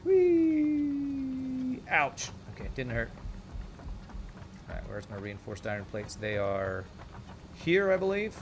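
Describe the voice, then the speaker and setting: A man's voice: high voice, huge pitch swings, slow pace, long pauses, a little hiss or hum. A man with a slight Texas twang, thinking aloud as he casually narrates his gameplay. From California, raised in Texas from age nine. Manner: thinking aloud, mumbling asides. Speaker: gaming channel host.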